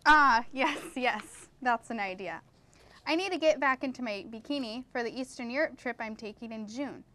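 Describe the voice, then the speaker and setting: A woman's voice: fairly high pitch, moderate pace, bright, forceful tone. A woman anchoring a scripted mock newscast, introducing reports in news-anchor style. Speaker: news anchor in a college's comedic promo newscast.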